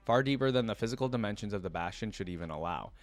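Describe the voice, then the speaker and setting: Excitable man reacting to a story. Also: lowish voice, quick pace. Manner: excitable, many fillers like "like". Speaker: reaction channel host. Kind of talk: reading a story aloud and reacting.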